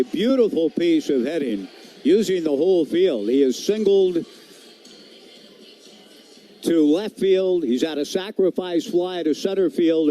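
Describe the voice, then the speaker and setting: A man's voice: on the high side, mellow. A man doing live radio play-by-play of a baseball game in clipped, descriptive phrases. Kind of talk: live radio sports commentary.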